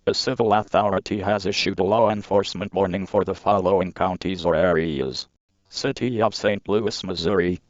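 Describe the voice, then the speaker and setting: A man's voice: low-pitched, steady tone, moderate pace. A stiff, impersonal male voice reading out a formal automated alert. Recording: dull, lo-fi alert audio.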